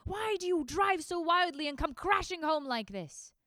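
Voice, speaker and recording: A woman doing a furious shouting father. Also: very high-pitched, expressive, moderate pace, no room sound, clean studio sound, voice pushed up. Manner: shouting, scolding. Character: furious father scolding his reckless son.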